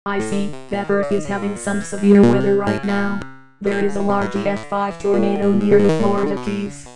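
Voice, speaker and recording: A woman voicing a calm, scheming alien in a scripted scenario, speaking flatly and matter-of-factly. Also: medium pitch, close to an everyday voice. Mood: calm, calculating. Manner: flat, measured, matter-of-fact. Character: scheming alien calmly controlling Earth's weather. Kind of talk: scripted amateur scenario dialogue. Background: loud music.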